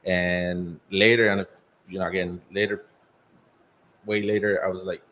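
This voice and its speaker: A man from Mexico City with a Mexican accent, a restaurant owner telling his story in a halting, filler-heavy way as a guest in a casual chat. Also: low-pitched, little pitch movement, a bit bright, slight background noise. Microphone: shared handheld mic.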